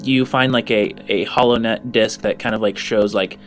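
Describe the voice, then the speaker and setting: A man working as game master, improvising narration in a halting way as he searches for words. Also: on the low side, fairly even intonation, faint music behind.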